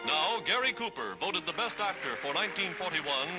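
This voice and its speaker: A man's voice: sits high, animated, brisk, poor audio. An American man narrating a 1940s newsreel in an emphatic, dramatic, declamatory style, reading from a script. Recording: 1940s newsreel soundtrack under loud music. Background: loud music.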